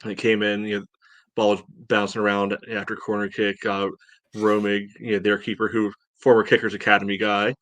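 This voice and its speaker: A man co-hosting a soccer podcast, breaking down a match in casual, halting talk. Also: on the low side, completely flat delivery, a bit bright, no room sound. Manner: halting, peppers talk with "you know". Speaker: soccer podcast co-host and analyst.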